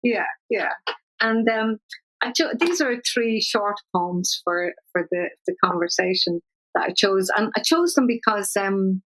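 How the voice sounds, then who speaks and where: An older Irish woman speaks at an ordinary middle pitch, thinking aloud and restarting her sentences. When she reads her poems she slows right down and weighs each line. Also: a bit bright, rough recording. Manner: thoughtful, searching, frequent restarts; slow measured poetry reading. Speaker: poet. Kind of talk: conversational interview with poetry reading.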